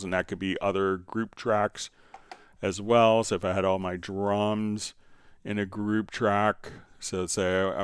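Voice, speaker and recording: Male livestream instructor, lowish clean voice, conversational step-by-step delivery, close dry sound. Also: clear, resonant tone, no echo. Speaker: livestream host and software instructor. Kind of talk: casual live tutorial, answering viewer questions.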